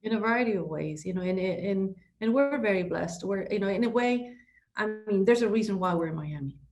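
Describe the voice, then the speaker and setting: A middle-aged woman speaks as a podcast guest, earnest and emphatic. Her pitch sits in an ordinary middle range for a woman. She stacks up points with frequent "you know"s and quick restarts. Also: lively intonation, darkish tone, faint music behind. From Ecuador. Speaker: podcast guest, real estate broker. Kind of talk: conversational interview.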